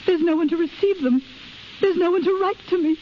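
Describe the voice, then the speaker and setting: An actress playing a lonely, anxious expectant young wife, tender and wistful, in earnest 1930s radio drama style. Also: female voice, extremely high pitch, a little fast. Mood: despairing. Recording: muffled, hissy 1930s radio recording.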